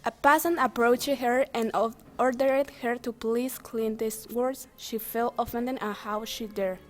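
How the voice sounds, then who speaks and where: A woman's voice: a little high, fairly even intonation, a little slow. A young adult woman narrating a scripted fairytale.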